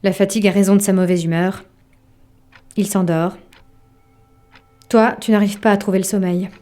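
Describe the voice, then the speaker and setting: A woman narrating a literary audio drama in an intimate, measured way, with a soft voice. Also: mid-range voice, fairly even intonation, faint music behind, natural voice. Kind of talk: literary second-person narration in audio fiction.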